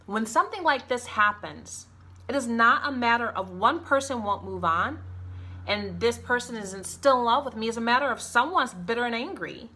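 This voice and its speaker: A woman's voice: a little high, expressive, normal speed, bright, forceful tone. A woman speaking in a personal vlog, a mother talking bluntly and with passion, swearing freely. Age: adult mother. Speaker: vlogger.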